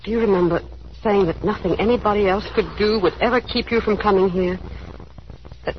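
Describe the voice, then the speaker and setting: An actress playing an anxious, proud young woman in despair, pleading and halting in a 1930s radio drama style. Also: medium pitch, natural voice. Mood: hurt, probing. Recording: scratchy, muffled 1930s radio broadcast.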